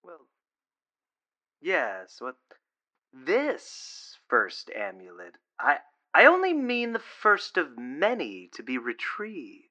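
A man plays a scheming, self-important doctor in a supernatural audio drama. The voice is bright and tense, swooping widely in pitch, with slow formal phrasing that falters into hedging. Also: wildly swooping pitch, exaggerated voice. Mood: defensive. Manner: formal, pompous, hesitant when questioned. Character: evasive, pompous doctor with secret schemes. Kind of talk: audio drama villain acting.

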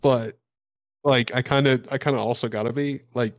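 A man on a casual tech podcast, excitable and slangy, often saying "like" and "y'all.". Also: mid-range voice, moderate pace, no echo.

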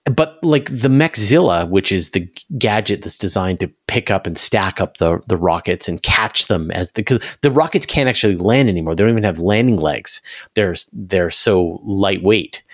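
A man's voice: lowish voice, dry recording. A man speaks in a casual interview. He explains with emphasis and animation, and the sound is close and dry. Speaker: interview guest, space channel creator.

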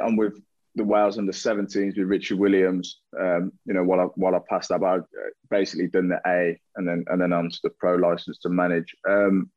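Deep-voiced guy in his 30s, chill and frank, dry muffled sound. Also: a little mellow, no room sound. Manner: frank, laughing easily. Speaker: retired footballer guest. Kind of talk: candid interview answers.

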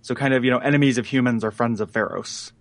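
A man's voice: mid-range voice, fairly even intonation, quick pace, dry recording. A man hosting a podcast while sick, with a froggy voice, chatting casually and stammering now and then. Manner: stammering, giggly. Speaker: podcast host. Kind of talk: casual chat.

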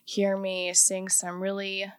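A woman's voice: medium pitch, monotone, slow pace, clean tone, no echo. Chatty young woman, dry close sound. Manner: chatty, many "like" fillers, laughs often. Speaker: painter and singer, guest. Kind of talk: casual interview chat.

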